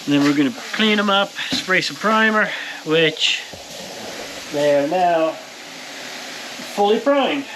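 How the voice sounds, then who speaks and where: A man's voice: sits high, lively intonation, takes it slowly, pauses often, noisy background. A man hosting a casual workshop vlog, speaking to viewers in an informal, folksy way. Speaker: host of a powder coating workshop video. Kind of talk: casual to-camera shop vlog.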